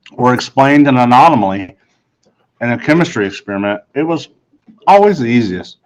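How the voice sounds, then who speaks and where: A man narrates a story in the first person, reading from a script as an audiobook reader. His voice sits at a middle pitch for a man. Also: low-quality recording.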